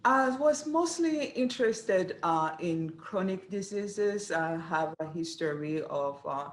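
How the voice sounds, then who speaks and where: A middle-aged woman from Rwanda, answering interview questions as a program director in an earnest, measured way, pausing often. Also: fairly low pitch, lively intonation. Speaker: dietetics program director, guest. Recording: echoey room.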